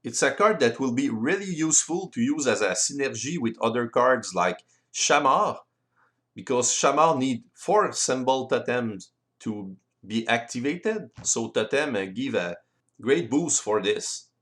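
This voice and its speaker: Man, French accent, native French speaker, tutorial voice-over, halting non-native phrasing, medium pitch. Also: animated, a bit bright. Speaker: board game designer and presenter.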